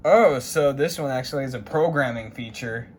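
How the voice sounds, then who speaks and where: A man's voice: medium pitch, normal speed, darkish tone. A man giving a casual, showy hobbyist unboxing narration, announcing each step like a presenter. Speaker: host of a radio unboxing video. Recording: noisy room recording with ambient hiss.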